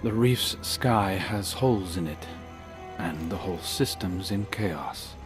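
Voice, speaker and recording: A man voicing a brooding, earnest hunter in a video game, speaking in a measured, subdued, wry way. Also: deep voice, animated, music in the background. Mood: grim, wry. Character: brooding, earnest male hunter with lost memory. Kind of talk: video game cutscene acting.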